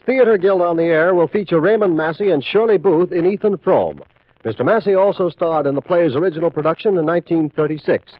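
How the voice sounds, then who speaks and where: A man's voice: fairly high pitch, no room sound. A man with an American accent reading a scripted network promo as a 1950s radio announcer. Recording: tinny narrow-band 1950s radio recording.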